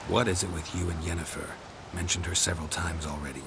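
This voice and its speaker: A man voicing a gruff, stoic monster hunter in a video game, low and gravelly, terse and deadpan with dry humour. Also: fast talker, noisy background. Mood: dry, wry.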